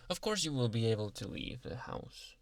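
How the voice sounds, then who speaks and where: Gravelly, obsessive yandere boyfriend played by a man. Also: medium pitch, slight background noise. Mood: teasing, doting. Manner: coaxing, self-satisfied, halting delivery with soft whispered asides. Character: obsessive yandere young male Uber driver, doting, smugly cheerful kidnapper. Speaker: ASMR roleplay performer. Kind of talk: intimate ASMR boyfriend roleplay.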